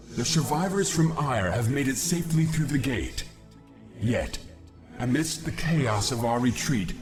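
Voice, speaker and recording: An actor playing a young, noble, dutiful alien warrior in a video game, speaking formally like a military officer. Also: male voice, medium pitch. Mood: worried. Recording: game music behind voice.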